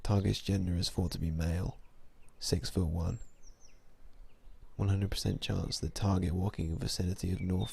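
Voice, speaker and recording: A man playing a weary, cynical vampire-hunting sniper in an ASMR audio drama, speaking in a brooding, low-key, deliberate way. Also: vocal fry, dark tone, a little hiss or hum. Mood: clinical, detached.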